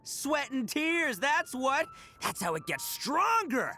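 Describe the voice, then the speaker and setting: A brash young oni gang boss from a video game. His voice is bright and punchy, leaping widely in pitch. He boasts in slangy, theatrical bursts, a goofy and cocky delinquent through and through. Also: very animated, takes it slowly, gravelly, a little hiss or hum, heavily acted. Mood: boastful. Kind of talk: animated video game voice acting.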